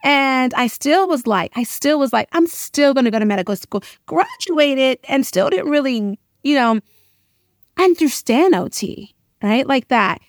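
A middle-aged woman, an interview guest, telling personal stories in an animated, emphatic, casual way and often checking in with "right?". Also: high voice, unhurried, dry recording, clean studio sound. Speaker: interview guest, occupational therapist and educator.

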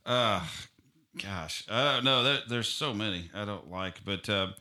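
A man's voice: lowish voice, unhurried, pushed and bright. A man co-hosting a podcast, chatting casually and hesitantly searching for words. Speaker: podcast host. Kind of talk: casual chat. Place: podcast room.

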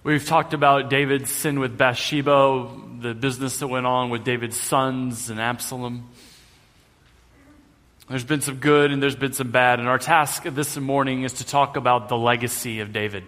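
A man's voice: medium pitch, steady tone, normal speed, a bit bright. A man preaching a sermon as pastor in a conversational way with light humor, in a church sanctuary, with a long hall reverb on the recording.

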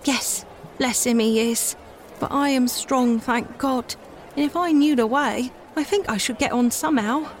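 Young country mother, poor, anxious, humble, pleading, played by a woman reader, highish voice, storybook, background noise. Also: unhurried, a faint crowd. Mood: humble, determined. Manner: pleading, polite.

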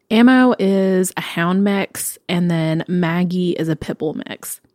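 A woman's voice: lowish voice, a little mellow, dry recording, studio quality. A woman in her late twenties from Tennessee, co-hosting a podcast in a chatty, upbeat way with frequent ums. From Knoxville, Tennessee. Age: 20s. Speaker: podcast co-host. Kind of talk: casual podcast chat.

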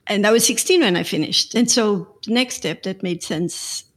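A woman's voice: mid-range voice, normal speed. Middle-aged Portuguese-accented woman, thoughtful interview guest, easygoing with small restarts. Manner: reflective, uses fillers and restarts. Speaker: guest, art conservator. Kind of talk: casual interview talk.

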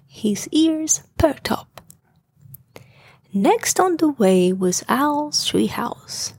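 A woman narrating a bedtime story in a hushed, gentle manner. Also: a little high, creaky, slightly rough, no room sound.

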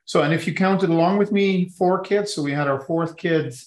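A man's voice: high voice, normal speed, reverberant. A man in his forties, a business executive speaking as an interview guest, talking in a hesitant way with many restarts. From Netherlands. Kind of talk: conversational interview.

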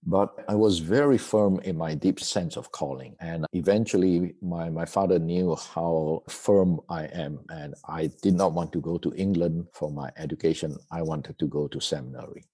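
A man's voice: low voice, normal speed, hardly any pauses, dark tone, husky. A middle-aged man from Malaysia, a seminary president, telling his life story in an interview in a thoughtful way, often repeating words.

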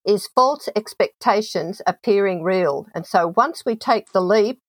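A woman's voice: mid-range voice, measured pace, no room sound. Storytelling woman hosting an interview. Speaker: host. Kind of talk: conversational interview.

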